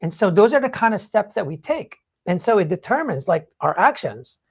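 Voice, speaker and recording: A man's voice: high-pitched, sing-song, brisk, vocal fry, slightly rough. Man casually talking motivation, rambling and informal. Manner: informal, rambling explanations peppered with "like" and "you know". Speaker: podcast host.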